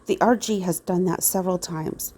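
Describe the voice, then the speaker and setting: A woman's voice: lowish voice, moderate pace, mellow, a little hiss or hum, lightly acted. A woman playing an earnest, suspicious private investigator in an old-time radio style drama. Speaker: investigator in an amateur audio drama.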